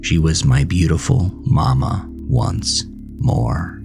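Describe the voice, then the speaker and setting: A man narrating a horror story in the first person, with a somber, deliberate, dramatic delivery. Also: extremely low pitch, vocal fry. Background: music.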